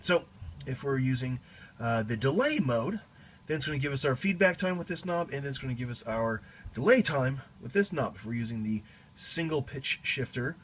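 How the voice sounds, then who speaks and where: A man giving a casual, explanatory demonstration of a guitar effects pedal in a halting way, as a teacher would. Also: mid-range voice, lively intonation, fairly bright tone, a little hiss or hum. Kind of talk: casual product demo.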